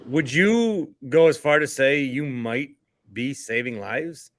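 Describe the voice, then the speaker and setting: A man's voice: mid-range voice, takes it slowly, no room sound. A middle-aged man hosting a sports podcast in a casual, chatty way.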